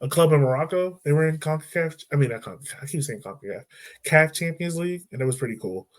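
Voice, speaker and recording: A man's voice: a little high, fast. A man hosting a casual soccer podcast, talking loosely with plenty of fillers. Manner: relaxed, loose, lots of fillers like "um" and "like". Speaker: podcast host.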